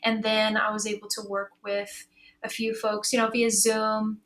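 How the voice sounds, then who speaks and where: A woman's voice: mid-range voice, monotone, a little slow, a bit bright, roomy sound. An American woman speaking informally and chattily in a conversational panel discussion. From South Central New Mexico, United States. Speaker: audio drama creator on a panel.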